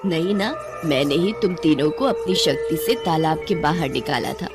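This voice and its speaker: A woman's voice: low-pitched, animated, normal speed. A woman voicing a kindly, reassuring witch who was once a princess, in a cartoon bedtime story. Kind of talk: Hindi animated bedtime story acting. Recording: music behind the voice.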